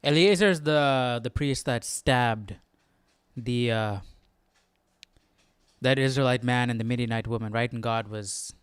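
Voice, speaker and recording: Male study host: clear tone, dry sound, halting informal musing. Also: medium pitch, no echo. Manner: halting, musing, often tags remarks with "right?". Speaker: host of a Bible study livestream. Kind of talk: informal thinking-aloud commentary.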